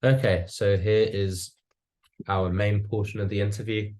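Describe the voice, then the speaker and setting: A man's voice: lowish voice, little pitch movement, measured pace, a little mellow. A young man working through a semi-scripted interview as a student interviewer. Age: young adult.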